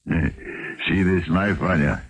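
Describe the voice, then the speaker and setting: An actor in a 1970s radio drama playing a desperate, menacing criminal with a gravelly voice and a gloating manner. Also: male voice, low-pitched, fairly even intonation, very slow, heavily acted. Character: menacing, desperate knife-wielding Russian criminal man. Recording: muffled-era 1970s radio broadcast recording.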